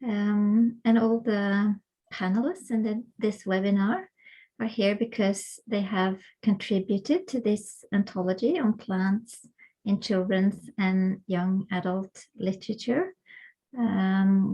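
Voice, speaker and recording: A woman hosting an academic webinar over a video call, introducing the panel with frequent fillers and pauses. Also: medium pitch, mellow, rough recording. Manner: hesitant, many fillers. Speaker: webinar host and co-editor.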